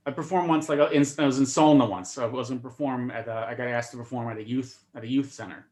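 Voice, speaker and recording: A man's voice: mid-range voice, fairly even intonation, fast talker, darkish tone. A man, a stand-up comedian, chatting casually on a video call and often repeating words as he starts his sentences. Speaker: comedian guest.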